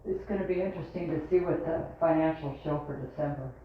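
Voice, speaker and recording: Muffled distant recording, woman, lower voice, conversational questions, meeting participant. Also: fast. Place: meeting room, distant microphone. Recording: muffled echoey room from far off, faint noise.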